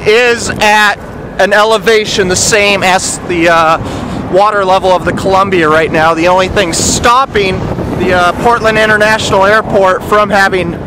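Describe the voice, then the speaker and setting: A man's voice: high-pitched, fairly even intonation, normal speed. A man giving a casual on-location report outdoors by a river, rambling with many "uh" fillers. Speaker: citizen reporter. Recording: outdoor recording with loud wind and river noise.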